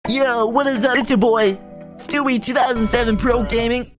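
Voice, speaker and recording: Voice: mid-range voice, fairly even intonation, normal speed, faint music behind, voice pushed up, close to an everyday voice. A performer voicing a boastful young male wannabe YouTuber who brags about being famous. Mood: bragging. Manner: bragging, hyped streamer talk.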